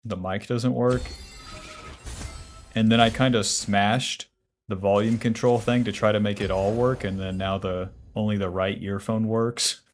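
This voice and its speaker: A man's voice: lowish voice, steady tone, measured pace, slight background noise. A man in his twenties streaming a game, talking casually and bluntly, cursing freely and answering chat questions aloud. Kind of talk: casual livestream chat while playing.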